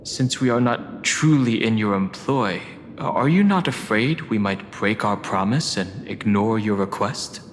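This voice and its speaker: A man's voice: lowish voice, lively intonation, slight background noise. Formal man in long reverb. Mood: polite, reserved. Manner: formal, measured. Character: calm, formal, courteous male mercenary. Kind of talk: video game voice acting. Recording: long reverb, music behind. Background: game background music.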